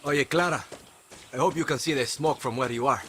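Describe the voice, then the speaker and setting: A man's voice: medium pitch, fairly quick, noisy background, natural voice. A man voicing a cocky, upbeat young rebel fighter in a video game. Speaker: rebel recruit. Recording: game cutscene with loud music behind.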